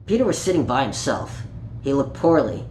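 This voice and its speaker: A person reading a children's story aloud in an animated, playful way, with small asides and stumbles over words. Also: medium pitch, normal speed. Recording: echoey room with light background noise.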